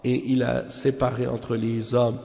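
A man's voice: mid-range voice, flat delivery, measured pace, gravelly, mellow. A man teaches religion without a script. He explains didactically, restating phrases to drive each point home. The sound is muffled, with a long reverb around the voice. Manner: didactic, repeats phrases for emphasis, rhetorical check-ins. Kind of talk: extemporaneous religious lesson explaining a commentary.

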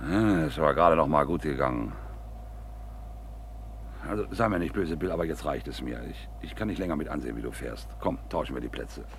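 A bossy, middle-aged businessman in a radio crime play. His low voice swings widely as he lectures and flares up, full of self-importance. There is clear background noise like a car. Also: animated. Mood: irritated, placating. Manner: domineering, quick to flare up, self-righteous. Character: bossy, boastful, hot-tempered male businessman, middle-aged. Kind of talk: German radio crime drama acting.

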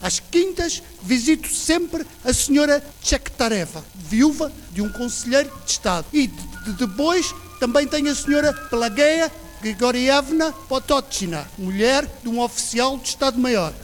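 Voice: high-pitched, lively intonation, normal speed. A student actor playing a flustered official who stammers constantly, in a radio drama with music behind. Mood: anxious.

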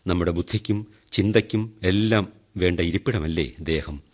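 A man's voice: low voice, moderate pace. An actor playing a wry man who talks straight to the audience in a radio drama monologue. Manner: wry, chatty and teasing with the listeners. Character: ironic middle-aged man who donates a kidney. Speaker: monologue narrator in a radio play. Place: radio studio.

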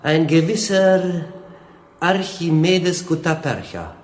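Oily, chatty young dictator, echoing room. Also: male voice, fairly high pitch, sing-song, slow. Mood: mock-friendly menace. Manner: gushing, oily familiarity, rambling. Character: smug, chatty young dictator-general, menacingly jovial. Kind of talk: German radio drama acting. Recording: roomy, echoing studio scene.

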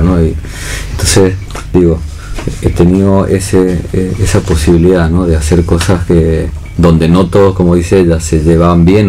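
Deep-voiced Argentine man, reflective. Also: dark tone. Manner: reflective, checks in often with tag questions. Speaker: theatre director, radio guest. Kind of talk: casual radio discussion. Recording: noisy radio studio recording.

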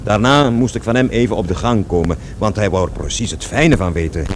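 A man's voice: fairly low pitch, a little fast, background noise, lightly acted. An actor playing a defiant young man who recalls his schooldays and his father in colloquial, scornful slang for a radio drama. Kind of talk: radio drama acting, animated storytelling.

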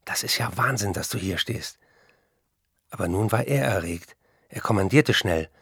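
A man playing a tormented, intense writer who confesses and narrates in measured, intimate speech in a radio play. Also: fairly low pitch, lively intonation, creaky, a bit bright, slightly rough, no room sound, clean studio sound, heavily acted. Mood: haunted recollection.

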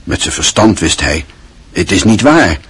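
A man with a very husky voice reading scripted literary narration for a radio drama. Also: deep voice, sing-song, measured pace, a bit bright.

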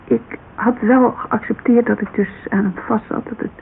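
A muffled radio play recording with steady hiss. a bereaved woman with a creaky voice. She confides in a halting, colloquial way, full of self-reproach. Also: on the high side. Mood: rueful. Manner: hesitant, colloquial, confessional. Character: grieving, self-reproachful woman confiding about her dead lover. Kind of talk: naturalistic radio drama dialogue.

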